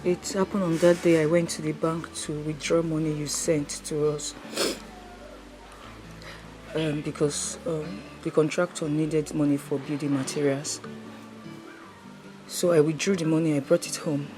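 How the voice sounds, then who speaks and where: An actress playing a grieving relative in a film drama, halting and sorrowful. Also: female voice, low-pitched, level tone, low-quality recording. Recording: prominent music under the dialogue. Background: music.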